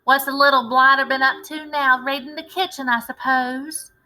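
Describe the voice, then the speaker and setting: A woman's voice: sits high, steady tone, normal speed, sharp tone, poor audio. A woman voicing a very large, coarse, impatient man in a storybook, brusque and pompous in manner. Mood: gruff, dismissive. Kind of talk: storybook read-aloud character voice.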